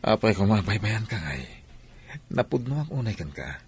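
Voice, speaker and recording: Cocky filipino soldier sweet talker, slightly husky, pitch all over the place, radio drama. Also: male voice, big pitch swings, normal speed, full character voice. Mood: wooing, pleading. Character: cocky womanizing young soldier, smooth-talking and playful. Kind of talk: Ilocano radio comedy drama acting.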